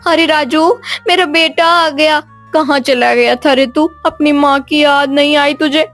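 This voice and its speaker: A woman's voice: high-pitched, fairly even intonation, a little slow, fairly bright tone, soft background music, voice pushed up. A woman voicing an anxious, loving mother in a cartoon story, fussing and emotional. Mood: relieved, concerned.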